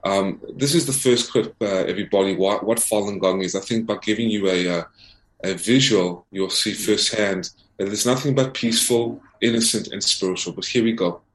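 A man's voice: lowish voice, normal speed. A man from South Africa speaking as an investigative reporter and interview guest, earnestly presenting in a formal, emphatic way and often repeating himself. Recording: roomy, echoing voice.

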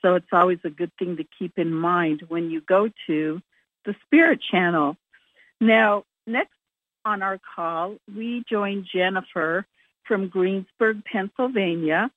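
Lady hosting a phone conference call, lowish voice, tinny line. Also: a little slow, no echo. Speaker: host.

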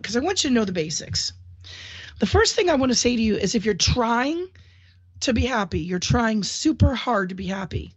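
A woman hosting a solo talk show, speaking in an upbeat, chatty, encouraging way while getting over a cold and clearing her throat now and then. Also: mid-range voice, no echo. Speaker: host. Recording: dull dry lo-fi audio.

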